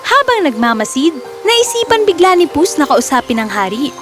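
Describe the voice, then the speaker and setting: High-voiced woman narrating a children's fairy tale. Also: big pitch swings, normal speed. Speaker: storyteller, narrator. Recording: music under the voice. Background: music.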